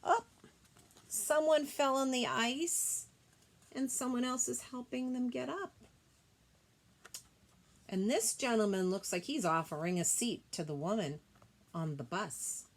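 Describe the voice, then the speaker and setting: A woman's voice: a little high, unhurried, long pauses. A woman reading a picture book aloud to young children, explaining the illustrations in simple, informal phrases.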